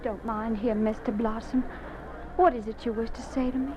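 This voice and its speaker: A woman's voice: on the high side, steady tone, moderate pace, natural voice. An actress playing an anxious, devoted young captive woman in a 1950s radio drama. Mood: anxious, tender. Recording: noisy old radio recording.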